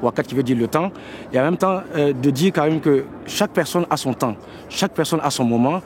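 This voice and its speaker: A man's voice: fairly high pitch, a little fast, slight background noise. A man speaks as a choreographer in a reflective interview, repeating words as he thinks.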